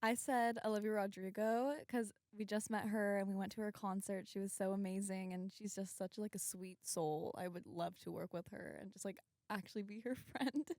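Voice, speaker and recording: A woman's voice: mid-range voice, steady tone, moderate pace, hardly any pauses, darkish tone, no echo. Giggly young woman, dry studio sound. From Switzerland. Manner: giggly, upbeat. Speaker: pop group member. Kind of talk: casual interview chat. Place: radio studio.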